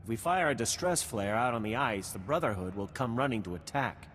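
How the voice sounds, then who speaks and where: A man's voice: mid-range voice, animated, a little fast, fairly bright tone, close to an everyday voice. A man playing a serious, beleaguered outpost soldier in a science fiction video game. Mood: worried, urgent. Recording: reverberant hall, noisy game ambience.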